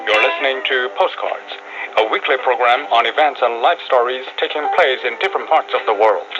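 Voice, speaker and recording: A man's voice: mid-range voice, brisk, highs cut off. A man introducing a radio programme as its host, reading from a script. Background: loud music bed.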